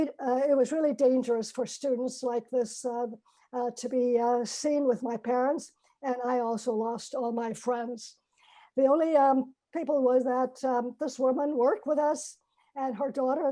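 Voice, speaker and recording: A woman's voice: high voice, poor audio. An older woman, a professor, telling her life story in a reflective talk on a video call, with frequent fillers and restarts. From China. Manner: gentle, many fillers and restarts. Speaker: professor giving a personal talk. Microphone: video call mic.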